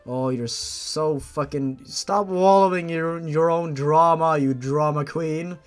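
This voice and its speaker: A man's voice: a little high, takes it slowly, smooth, soft background music. A man reading fan fiction aloud as a host, with sarcastic, exasperated asides and casual swearing.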